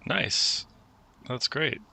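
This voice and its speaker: A man hosting a career advice chat, coaching a student in a relaxed way and often restarting his phrases. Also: drawn-out words, dry recording. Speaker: host giving career advice. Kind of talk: conversational mentoring chat.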